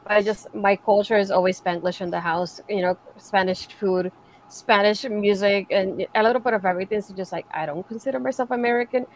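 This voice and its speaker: A woman from Puerto Rico chats on a podcast panel at a brisk pace. She is earnest and emphatic, in a medium-pitched voice with slight noise behind it. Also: poor audio. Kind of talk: casual panel chat.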